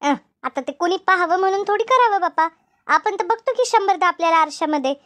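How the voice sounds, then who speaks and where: Woman acting very high-pitched, chatty village housewife. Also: lively intonation, a little fast, fairly bright tone, no room sound. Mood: chatty, self-assured. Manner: gossipy, emphatic, colloquial. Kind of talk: animated comedy cartoon dialogue.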